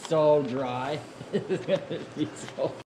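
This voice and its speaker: A man's voice: a little high, takes it slowly. A man chatting casually and crudely, with a giggly manner, in a workshop garage. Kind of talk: casual chat. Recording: loud noise, echoey garage.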